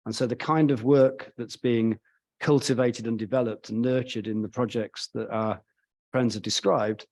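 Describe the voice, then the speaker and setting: A man with a medium-pitched voice moderates a panel discussion. He introduces guests and frames questions in measured, formal sentences. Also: fairly even intonation, darkish tone.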